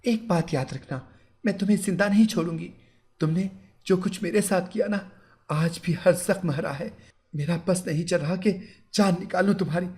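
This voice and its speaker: A man's voice: sits high, normal speed, dark tone, voice pushed up, natural voice. A man voicing a bitter, furious young bride in an audio story. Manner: emotional, accusing.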